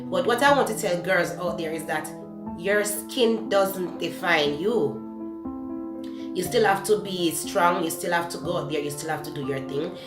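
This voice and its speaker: A woman's voice: fairly low pitch, unhurried. Candid Jamaican woman vlogging from her kitchen. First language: Jamaican Patois. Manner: candid, searching for words, self-correcting. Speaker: beauty vlogger. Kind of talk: casual talk-to-camera beauty vlog. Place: home kitchen, indoors. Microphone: camera close to the face. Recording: echoey kitchen with background music.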